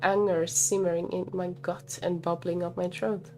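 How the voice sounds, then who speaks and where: A woman's voice: fairly low pitch, level tone, normal speed, warm tone. A woman game streamer reading a visual novel aloud expressively and breaking off to comment, with game music behind her.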